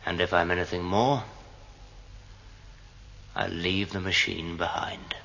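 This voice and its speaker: An actor playing a brooding, earnest male android in a radio drama, speaking deliberately. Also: low voice, steady tone, creaky, pushed and bright, heavily acted. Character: brooding male android questioning whether his feelings are real. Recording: dull noisy 1980 radio drama recording.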